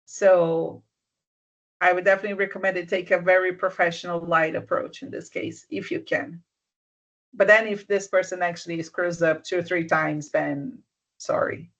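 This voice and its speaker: A mentor talks through workplace advice on a video call, gently and with frequent restarts. The voice sits in a middle pitch, and the call audio comes through a little dull and muffled. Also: fairly even intonation, normal speed. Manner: soft-spoken, restarts often, checks understanding. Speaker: business mentor. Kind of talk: advice in a live online session.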